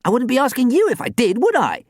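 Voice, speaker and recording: A man voicing a bossy, excitable young girl in a children's story, breathless and emphatic. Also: very high-pitched, normal speed, no echo, studio quality, voice pushed up, heavily acted. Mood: indignant.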